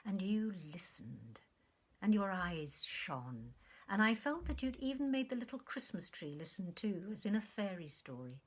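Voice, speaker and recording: A woman's voice: mid-range voice, very animated, a little slow. Literary story role: woman plays wistful, self-absorbed male former lover, effusive and earnest in delivery. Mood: nostalgic.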